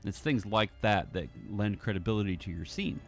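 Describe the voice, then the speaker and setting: A man's voice: on the low side, normal speed. A man giving a casual walkthrough of his audio drama editing, thinking aloud in fragments. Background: film score music.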